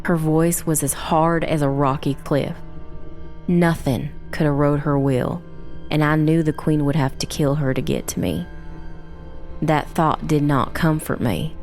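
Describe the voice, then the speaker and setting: A woman playing a young girl survivor who narrates a dark fantasy tale in the first person, measured and grave. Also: sits low, fairly even intonation, faint music behind. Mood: somber.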